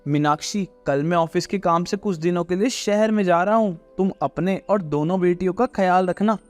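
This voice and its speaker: A man's voice: high-pitched, normal speed, faint music behind, natural voice. A man playing a protective, fair-minded father who speaks earnestly and firmly in a moral story. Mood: calm. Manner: earnest, firm.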